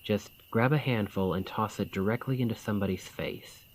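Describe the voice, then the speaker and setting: A man acting a bandit leader in an ASMR fantasy roleplay, speaking in a colloquial, deliberate, conspiratorial manner. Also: fairly low pitch, a little hiss or hum, close to an everyday voice. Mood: commanding, hushed planning. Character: gruff but caring male bandit leader, scheming, informal. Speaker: voice actor in audio roleplay.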